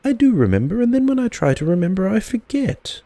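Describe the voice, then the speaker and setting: A man's voice: high voice, sing-song, fairly quick, mellow, dry recording, voice pushed up. A man voicing a small, innocent, inquisitive young boy in a storybook, with a childlike, questioning manner. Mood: innocent, wondering. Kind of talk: storybook character voice.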